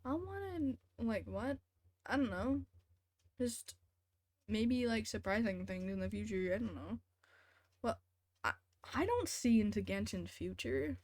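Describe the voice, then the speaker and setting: A woman's voice: fairly high pitch, lively intonation, a little slow, pauses often, darkish tone, no echo, studio quality. A woman live-streaming a video game with casual commentary, playfully sarcastic as she talks back to chat and game.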